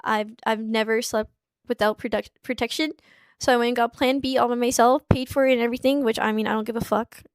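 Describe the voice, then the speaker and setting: A young woman in her twenties, a streamer telling a personal story in a halting way, with many restarts and pauses. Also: a little high, fairly even intonation, clear, resonant tone, no echo, studio quality. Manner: halting, tearful at times. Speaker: streamer in a played clip. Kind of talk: emotional personal account. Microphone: small clip-on mic.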